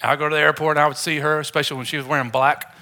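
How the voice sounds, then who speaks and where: Male preacher, slightly high pitch, forceful bright tone, informal and jokey sermon delivery. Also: little pitch movement, racing. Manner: informal, joking with the congregation. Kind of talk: conversational sermon.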